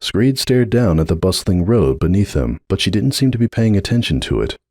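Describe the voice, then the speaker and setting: Guy narrating a story, lowish mellow voice, really expressive reading, dry close sound. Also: fairly quick, dry recording. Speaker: narrator of fan fiction readings. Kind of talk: dramatic scripted story narration.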